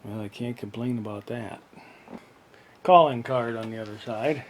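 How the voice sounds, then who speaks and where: A man giving a casual how-to narration in a halting, step-by-step way, as an unboxing video presenter. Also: mid-range voice, a little hiss or hum.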